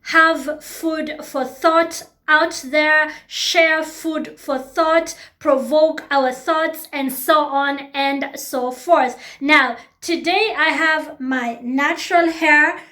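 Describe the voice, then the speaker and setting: A woman's voice: sits high, pushed and bright. A Kenyan woman in her thirties hosting her own vlog, talking earnestly and repeating phrases for emphasis. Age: 30s. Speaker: YouTube host. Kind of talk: reflective personal vlog talk.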